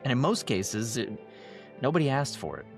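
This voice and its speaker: A man hosting a podcast, delivering a scripted monologue while sick and losing his voice, measured and emphatic. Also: medium pitch, very animated, gravelly, music in the background. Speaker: podcast host.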